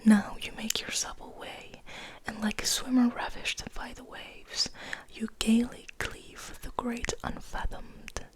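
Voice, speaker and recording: A woman whispering in a hushed, deliberate ASMR style, as host of a soft poetry reading, close to the microphone. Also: fairly high pitch, little pitch movement.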